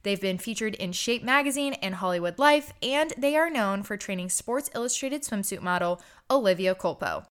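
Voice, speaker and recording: A woman's voice: mid-range voice, expressive, normal speed, few pauses, a bit bright, smooth. Upbeat woman podcast host. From Charlotte, North Carolina. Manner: enthusiastic, gushing. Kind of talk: casual interview chat.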